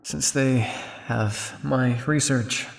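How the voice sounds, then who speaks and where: Man acting a weary, gravelly vampire scientist. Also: medium pitch, drawn-out words, in a large hall, faint music behind. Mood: grim, uneasy. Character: weary, guilt-ridden centuries-old male vampire scientist on the run. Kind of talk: ASMR roleplay acting, addressing the listener.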